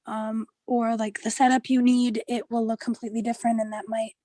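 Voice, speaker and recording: A woman's voice: a little high, little pitch movement, a little fast, mellow, dry recording, studio quality. A young woman, a student, giving her opinions in a casual, conversational group discussion. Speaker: media studies student.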